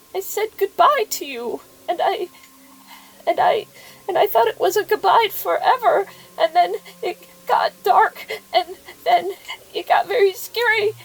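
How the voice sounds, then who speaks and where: A woman playing an anxious, devout widow in an audio drama, halting and repeating herself, with formal, old-fashioned phrasing. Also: extremely high pitch, creaky, a bit bright, exaggerated voice, low-quality recording. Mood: tearful. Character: anxious, devout widow, overwhelmed, speaking to her dead husband's likeness. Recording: faint background noise.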